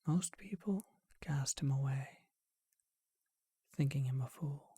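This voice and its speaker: A performer voicing a charming vampire who tells an old tale in a gentle, breathy ASMR whisper, unhurried and reflective. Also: mid-range voice, dry recording, clean studio sound. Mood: hushed storytelling.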